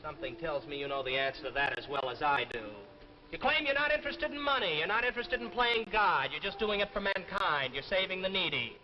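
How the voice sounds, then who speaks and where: Actor as a pushy, high-voiced young schemer. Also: male voice, a little fast, natural voice. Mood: menacing. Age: young adult. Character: pushy, scheming young man hungry for money. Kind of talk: 1950s television drama acting. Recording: muffled vintage TV broadcast, faint music.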